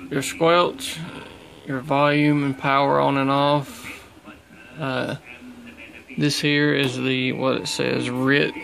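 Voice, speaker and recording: A man giving a casual, unscripted review of his radio gear at home in a halting, plain-spoken way. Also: mid-range voice, gravelly, fairly bright tone, rough recording. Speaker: radio hobbyist showing his equipment. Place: small indoor room at home.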